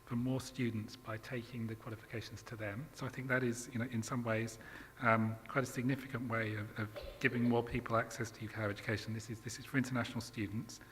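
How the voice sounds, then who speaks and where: A man's voice: medium pitch, level tone, fast, a bit bright, in a large hall, slight background noise. A man answering questions on a panel, hesitant with frequent fillers.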